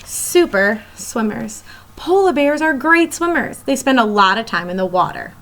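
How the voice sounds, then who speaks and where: High-voiced woman teacher reading aloud. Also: animated, normal speed, a bit bright, slight background noise. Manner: addresses young children directly, explains simply. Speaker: elementary school teacher. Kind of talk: read-aloud of a children's nonfiction book.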